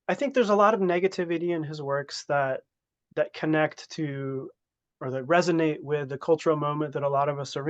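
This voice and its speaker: A man on a literary webinar panel speaks slowly and carefully, feeling his way toward each point. His audio is dry and dull, coming in over a video call. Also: a little high, dry recording. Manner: tentative, thoughtful. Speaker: panelist, scholar. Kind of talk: round-table webinar discussion.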